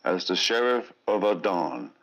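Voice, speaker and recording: A man's voice: lowish voice, a little slow, creaky, a bit bright, highs cut off. A man narrating a scripted fantasy tale in a theatrical, portentous radio drama manner. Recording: music under the voice. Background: prominent music.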